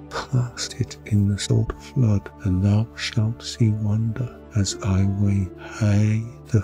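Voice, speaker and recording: A man playing a frail, dying duke in an audio drama, courteous, grave and intent on his quest. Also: on the low side. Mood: reciting solemnly.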